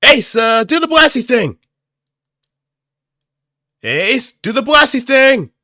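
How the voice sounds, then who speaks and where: Fighting game hero, played by a man: high, bright, urgent battle shouts, explosive delivery. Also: very animated, slow pace, no echo, studio quality, full character voice. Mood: battle fury. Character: shouting male fighting game hero firing off attack callouts. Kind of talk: improvised video game battle lines.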